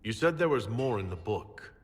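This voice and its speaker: A man's voice: fairly low pitch, animated, normal speed, breathy edge. A man playing a composed, skeptical commander hero in a fantasy game, dry and questioning. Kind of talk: fantasy game dialogue acting.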